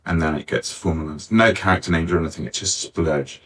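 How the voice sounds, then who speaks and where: A man's voice: rumbling low, a little fast, a bit bright, slight background noise. A man talking informally as a panellist at a live discussion, on a distant backup recording. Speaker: panellist, pantomime scriptwriter. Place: arts centre venue, picked up from afar.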